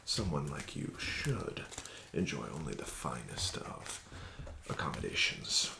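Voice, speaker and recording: A man hosts an ASMR casino roleplay as a flattering dealer. His voice sits very low with a gravelly edge, and he talks slowly with a lively, rising and falling lilt. A steady hiss runs under the recording. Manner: smooth, flattering, unhurried dealer patter.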